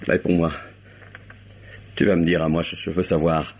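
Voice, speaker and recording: Male actor playing an agitated murder suspect; deep, husky, muffled old-radio sound. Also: flat delivery, normal speed, a little mellow, a little hiss or hum, natural voice. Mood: bitter. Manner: agitated, bitter and sarcastic, breaks off mid-sentence. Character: anguished male stage actor accused of murder. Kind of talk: 1950s French radio drama acting. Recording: dull lo-fi old radio broadcast.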